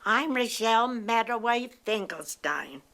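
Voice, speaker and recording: Very old woman, bright gravelly voice. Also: a little high. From Belgium. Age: 90s. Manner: reminiscing, steady and unhurried. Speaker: interviewee. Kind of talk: personal life story told in an interview.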